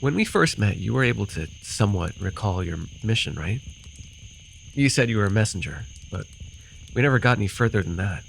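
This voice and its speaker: Guy doing a rambly amused forest fae, kinda low voice, fantasy asmr storytelling w apologetic asides, faint crickets and bugs noise behind. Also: animated, brisk, gravelly. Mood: curious. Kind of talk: fantasy ASMR roleplay acting. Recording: noisy with cricket and insect ambience. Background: night forest ambience with crickets and insects.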